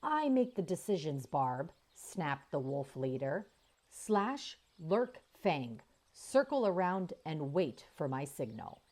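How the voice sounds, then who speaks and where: A woman's voice: sits low, big pitch swings, slow, a little mellow, heavily acted. Woman doing a curt bossy wolf leader. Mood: commanding. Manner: curt, commanding.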